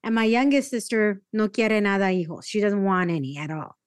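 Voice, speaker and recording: A woman's voice: mid-range voice, a little fast, smooth, no echo, studio quality. A woman hosting a podcast, talking casually and animatedly, with lots of "like". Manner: animated, uses "like" a lot. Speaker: podcast host. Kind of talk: casual interview conversation.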